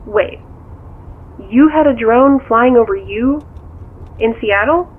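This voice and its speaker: An inquisitive confidante on a tinny phone line. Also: female voice, on the high side, slow pace, slightly rough. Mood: curious. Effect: phone call filter. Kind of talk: naturalistic audio drama dialogue.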